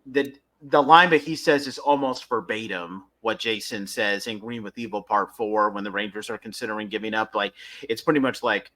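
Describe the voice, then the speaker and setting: Bright, forceful man recapping episodes. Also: lowish voice, moderate pace, hardly any pauses. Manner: enthusiastic fan talk. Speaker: podcast host. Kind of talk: casual episode recap and review.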